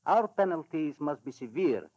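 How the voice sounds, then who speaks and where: An actor playing a stern, smugly courteous Balkan government official in 1940s radio drama, speaking formally and with emphasis. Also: male voice, on the high side, lively intonation, darkish tone, lightly acted. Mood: stern, insistent. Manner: formal, emphatic, hesitant pauses. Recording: dull old radio recording.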